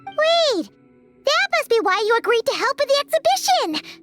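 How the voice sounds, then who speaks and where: A woman voicing a tiny, excitable childlike fairy companion in a video game, squeaky and exclamatory. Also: moderate pace, over music. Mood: eager, curious. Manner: squeaky, exclamatory, impatient, refers to herself by name. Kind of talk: scripted video game character dialogue.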